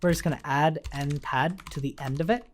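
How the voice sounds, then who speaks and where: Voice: mid-range voice, brisk, a little hiss or hum. An instructor giving an informal live coding tutorial, thinking aloud while typing and often checking in with the listener, with keyboard sounds behind. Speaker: programming instructor. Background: computer keyboard typing.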